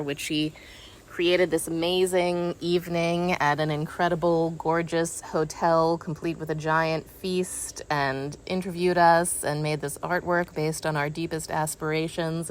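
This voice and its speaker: A woman's voice: sits low, rough recording. A woman hosting a casual conversational interview, appreciative and searching for her words, with noticeable background noise. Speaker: host, interviewer.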